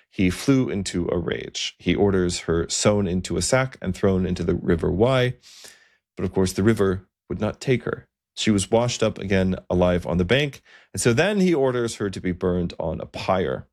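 A man's voice: sits low, a little mellow. A man hosting a podcast, telling historical stories in an earnest, explanatory, partly scripted way. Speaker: podcast host. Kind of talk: semi-scripted historical storytelling.

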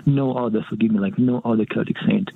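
A man from Romania, a monk priest, speaking as a guest in an earnest, reflective way, with a soft laugh now and then. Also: lowish voice, fast, creaky, husky, narrow, tinny audio. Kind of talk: conversational interview.

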